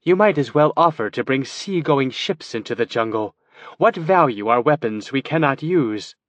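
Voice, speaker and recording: A man's voice: medium pitch, animated, normal speed, no room sound, lo-fi sound, natural voice. A man voicing a wary male elf scout and warrior who questions in a formal manner.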